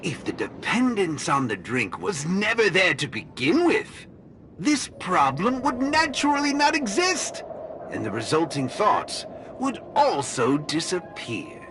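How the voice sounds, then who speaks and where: A man playing a serene, cryptic cult guru in a video game, courteous and smug, preaching in a measured, theatrical way. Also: high-pitched, huge pitch swings, background noise, full character voice. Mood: smug, patient. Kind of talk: video game character acting. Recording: game music behind.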